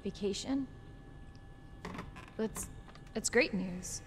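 A woman's voice: mid-range voice, drawn-out words, slight background noise. An actress playing a determined, caring young American woman in a video game cutscene, speaking casually and plainly. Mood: guarded.

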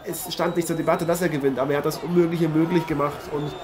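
A man's voice: a little high, flat delivery, quick pace, close to an everyday voice. A man playing an eager, effusive TV boxing host in an improvised German audio drama. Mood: engaged. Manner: effusive, formal address to viewers. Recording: noisy arena ambience behind voice.

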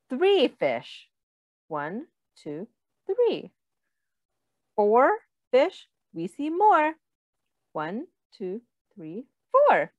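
Slow, sing-song woman reading to children. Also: medium pitch, dry recording. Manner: deliberate, explaining, asks the listener questions. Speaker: teacher reading a picture book aloud. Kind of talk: read-aloud for young children.

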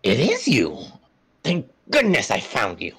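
A man's voice: mid-range voice, wildly swooping pitch, unhurried, a bit bright, breathy edge, voice pushed up, exaggerated voice. A man voicing a scheming, grumpy, pompous little cartoon fellow in a brash, indignant way. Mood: surprised, relieved.

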